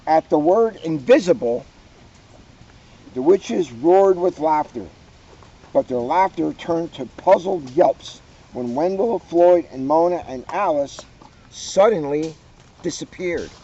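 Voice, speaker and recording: A man's voice: a little high, animated, slow pace, warm tone, husky, lo-fi sound. A man reading a storybook aloud with playful, joking asides.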